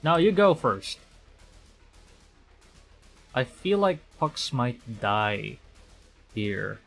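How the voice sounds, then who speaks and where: Male streamer from the Philippines, mid-pitched, unhurried and halting, commenting on a playthrough with soft music behind. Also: big pitch swings, creaky. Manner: halting, thinking aloud, stumbling over read lines. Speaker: VTuber streamer. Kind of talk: live game playthrough commentary, reading visual novel text aloud. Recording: background game music. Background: game music.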